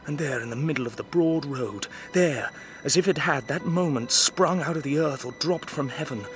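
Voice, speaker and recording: A man's voice: mid-range voice, lightly acted. A man with an English accent playing a courteous, earnest young drawing teacher of 1849, narrating and speaking formally in a literary audio drama. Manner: well-spoken, formal. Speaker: narrator and lead actor.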